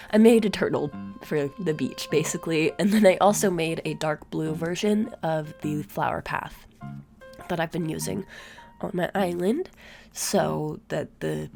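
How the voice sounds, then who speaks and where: A woman's voice: fairly low pitch, creaky, a little mellow. A young woman with a congested, sore-throated voice, chatting casually in a voice-over about her game at a home mic. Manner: chatty, rambling, tired. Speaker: gaming YouTuber. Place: home room. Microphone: desk mic, recorded at her chair.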